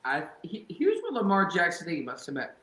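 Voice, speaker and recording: A man with a high, bright, forceful voice co-hosts a sports talk podcast. His talk is heated and ranting, and it spikes into shouts. He is recorded in an echoey room. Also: huge pitch swings, brisk. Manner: fired-up ranting, bursts into shouts. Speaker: podcast co-host.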